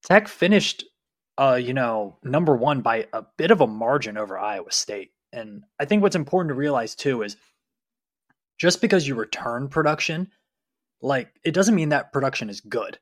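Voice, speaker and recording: A man's voice: medium pitch, lively intonation, moderate pace, no room sound, clean studio sound. A man co-hosting a sports podcast, giving casual, conversational analysis.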